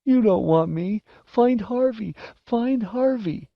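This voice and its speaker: A man's voice: high-pitched, expressive, slow pace, gravelly, voice pushed up, heavily acted. A man voicing a fat, cowardly young boy in a storybook, sobbing, whining and pleading over and over. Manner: pleading, repetitive. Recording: roomy, dull lo-fi audio.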